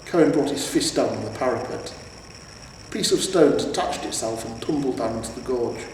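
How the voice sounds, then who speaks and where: A man's voice: mid-range voice, moderate pace, darkish tone. A man reading scripted story narration as the narrator. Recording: echoey reverberant room, distant, faint hiss.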